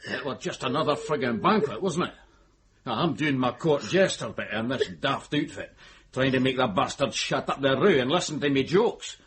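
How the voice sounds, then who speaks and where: An actor plays a male club comedian in a radio drama. He is blunt, foul-mouthed and irreverent, spinning out slangy stories with a very lively, swinging pitch. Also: normal speed, close to an everyday voice. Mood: irritated, animated.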